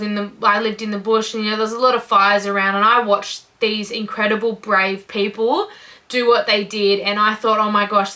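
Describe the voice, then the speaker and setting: A woman's voice: mid-range voice, bright, forceful tone. A nineteen-year-old Australian woman in her first vlog, talking casually to camera in an upbeat, gushing way. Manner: upbeat, gushing, says "incredible" and "you know" often. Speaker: vlogger.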